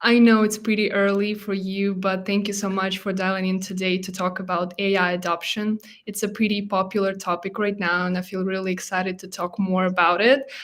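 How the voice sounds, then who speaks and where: Woman, panel host, video call, friendly, mid pitch, "you know" fillers.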